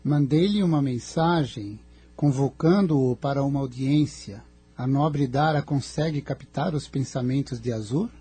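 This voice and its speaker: An actor playing a noble, calm spiritual guide in an audio drama, speaking formally and in a measured, solemn way. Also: male voice, mid-range voice, slightly rough.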